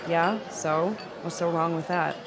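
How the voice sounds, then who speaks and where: An actress playing a hesitant, idealistic young aspiring filmmaker in a conversational indie drama. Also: female voice, fairly low pitch, fairly even intonation, unhurried, music in the background. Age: young adult.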